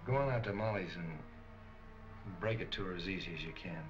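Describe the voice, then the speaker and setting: Actor as low-voiced, blunt American police captain. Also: male voice, brisk, over music, natural voice. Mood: subdued. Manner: blunt, terse, colloquial. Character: gruff, plain-spoken American police captain. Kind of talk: 1940s film acting. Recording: dull, hissy 1940 film soundtrack.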